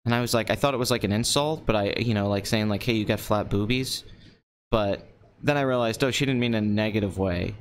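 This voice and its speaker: A young man in his late twenties talks into a desk microphone with a slightly low voice. His delivery is flat and deadpan, kept low and calm. A faint hiss of background noise sits under the recording. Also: fast talker. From Pennsylvania. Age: 20s. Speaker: live-stream host. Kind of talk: casual solo live-stream talk. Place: nearly empty apartment.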